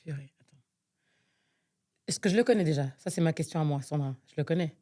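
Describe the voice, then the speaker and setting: A young woman from Nigeria with a slightly low voice, co-hosting a casual podcast. She thinks out loud, full of fillers and hesitations, and sounds fed up with the game she's playing. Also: a little fast. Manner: exasperated, thinks aloud with many fillers. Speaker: podcast co-host. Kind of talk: casual chat, guessing game.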